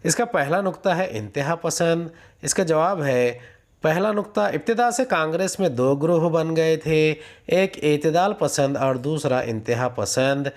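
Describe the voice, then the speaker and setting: A man reading out a lesson methodically as a teacher, announcing each numbered point. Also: a little high. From India. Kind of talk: scripted lesson, reading questions and answers aloud.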